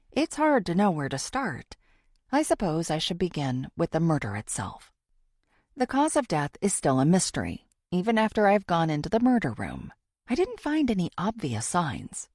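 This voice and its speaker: A woman's voice: lowish voice, a little mellow, no room sound, clean studio sound. A woman voicing an earnest, theatrical female true-crime podcaster who confides in her listeners in an audiobook. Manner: confiding, theatrical, deliberate pauses.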